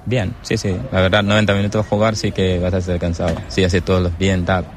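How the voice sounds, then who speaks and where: A man's voice: deep voice, level tone, brisk, slight background noise. A young man from Senegal, a footballer in a casual interview, speaking hesitantly and searching for words. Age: young adult. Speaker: footballer guest.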